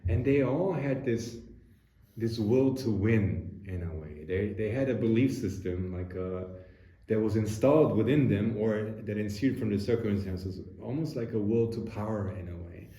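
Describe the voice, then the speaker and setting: A man's voice: lowish voice, normal speed, mellow. A man hosting a podcast, reflecting on his past and asking long, winding questions in a casual interview. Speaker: podcast host. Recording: roomy echo with faint noise.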